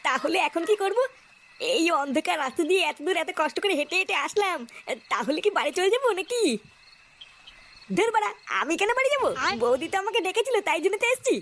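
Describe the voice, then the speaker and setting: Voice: very high voice, expressive, fast, fairly bright tone, full character voice. An actor voicing a foul-mouthed, lazy, lecherous young married man in a comic cartoon, crude and whiny. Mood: scheming, grumbling.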